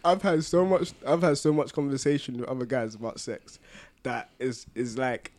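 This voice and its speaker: A man's voice: medium pitch, fairly even intonation, moderate pace, darkish tone, no echo. A man co-hosting a podcast in casual, teasing, jokey discussion. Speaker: podcast co-host.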